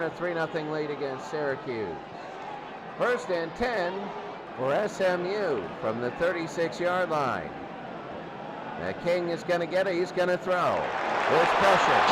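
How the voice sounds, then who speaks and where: A man calling football play-by-play for radio in short, clipped phrases. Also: high-pitched. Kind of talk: sports radio commentary. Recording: noisy radio broadcast with crowd din. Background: stadium crowd noise.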